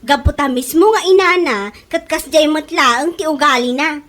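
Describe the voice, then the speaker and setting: A woman's voice: high-pitched, moderate pace, a bit bright, a little hiss or hum. A woman with an Ilocano Filipino accent voicing a defiant, spirited young daughter in a comedy radio drama. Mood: indignant. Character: feisty, defiant young daughter studying caregiving.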